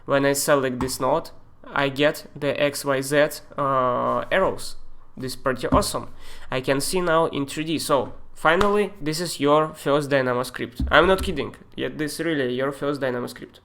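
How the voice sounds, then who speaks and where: A man with a Russian accent walks viewers through software on a live stream. He talks in a halting way, with fillers and loose non-native grammar, and his pitch sits in an ordinary middle range. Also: gravelly. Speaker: software tutor. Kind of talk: live-streamed screen tutorial.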